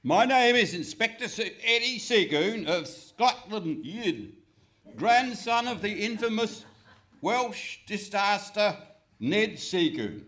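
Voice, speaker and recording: A man as a bumptious police inspector in a radio comedy. He declaims slowly in a high, swooping voice, full of self-importance. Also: sing-song, a bit bright, full character voice. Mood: grand, jaunty. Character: bumptious, grandly theatrical male Scotland Yard inspector. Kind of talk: absurd radio comedy acting. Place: hall stage, live performance.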